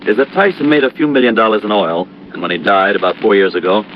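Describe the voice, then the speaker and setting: Man playing an earnest, fast-talking American insurance manager. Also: fairly low pitch, lightly acted. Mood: explaining. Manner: earnest, explanatory, conversational. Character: earnest, trusting, mildly anxious male insurance office manager. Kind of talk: 1950s radio drama acting. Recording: dull, noisy old radio recording.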